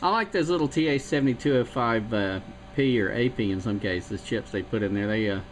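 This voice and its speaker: A man's voice: medium pitch, a little fast, heavy background noise. A man from South Carolina, a radio hobbyist, talking through circuit details in a thoughtful, meandering way. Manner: thoughtful, meandering, many fillers. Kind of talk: technical chat about radio circuits.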